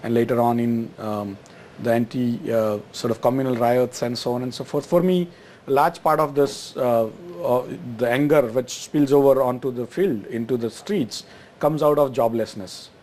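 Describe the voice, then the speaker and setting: A man's voice: medium pitch, normal speed, darkish tone. A middle-aged man from Gujarat, answering interview questions with many fillers and restarts. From Gujarat, India. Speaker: guest, exchange chief executive.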